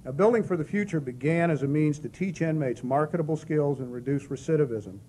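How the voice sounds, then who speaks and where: A man with a non-Southern accent gives a formal presentation, reading figures in a steady, measured way. His voice sits somewhat high for a man. A faint noise runs under him. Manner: measured, reads statistics steadily. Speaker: director of corrections presenting a program. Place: hall at a press club.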